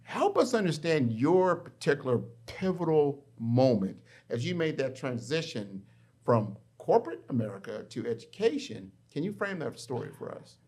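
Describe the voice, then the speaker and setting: Lively middle-aged male podcast host. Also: mid-range voice, normal speed, breathy edge. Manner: energetic, conversational framing of questions. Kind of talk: podcast interview hosting. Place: university in Miami.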